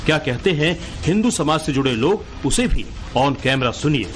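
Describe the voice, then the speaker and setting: A man's voice: on the high side, lively intonation, normal speed. A man reading a scripted Hindi news voice-over. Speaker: news narrator. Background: music.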